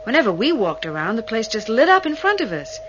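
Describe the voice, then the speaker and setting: A woman's voice: fairly high pitch, sing-song, a little fast. A woman playing an inquisitive, persistent starship crew member in a 1980 sci-fi radio drama, questioning and insistent. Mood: probing. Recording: muffled, noisy old radio recording.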